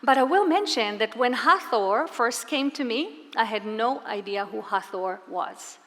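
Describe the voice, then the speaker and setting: A woman's voice: on the high side, animated, unhurried. A woman from Israel gives a live presentation to an audience, speaking earnestly and asking them rhetorical questions. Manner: earnest, warm-spoken storyteller with rhetorical questions. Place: large hall before a live audience. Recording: long hall reverb.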